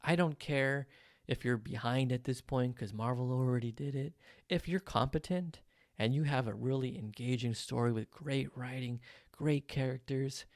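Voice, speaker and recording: A man's voice: mid-range voice, moderate pace, no echo, studio quality. A man hosting his own podcast, talking casually and with strong opinions, with plenty of ums and uhs. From Mexican heritage. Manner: chatty, opinionated, frequent fillers. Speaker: podcast host. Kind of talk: casual solo podcast talk.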